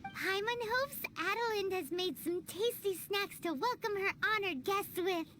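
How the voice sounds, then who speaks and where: A woman voicing a small, peppy, blunt fairy companion with an excitable childlike manner in an animated game style. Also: very high-pitched, moderate pace, background noise. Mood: chatty. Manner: refers to self in third person, excitable.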